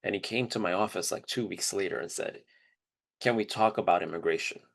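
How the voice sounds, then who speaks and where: A man's voice: low voice, a little fast, gravelly. A man delivering an informal, reflective first-person monologue in a conversational storytelling style. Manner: informal, reflective, acts out quoted dialogue. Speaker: performer of a dramatized first-person narrative.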